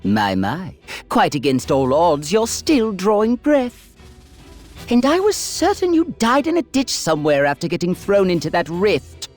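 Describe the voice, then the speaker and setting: A man's voice: sits high, wildly swooping pitch, unhurried, a little mellow, faint music behind, full character voice. A man playing a haughty, sadistic alien emperor in a video game, speaking with ornate, mocking politeness. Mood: smug, cold. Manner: theatrical, mocking, ornate wording. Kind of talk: video game villain acting.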